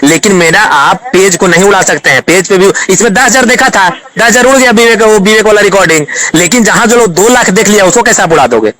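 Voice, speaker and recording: A man's voice: sits high, a little fast, few pauses, pushed and bright. A man with a Bhojpuri-region Indian accent in a heated phone argument, combative, repeating himself and interjecting. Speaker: online video creator on a phone call.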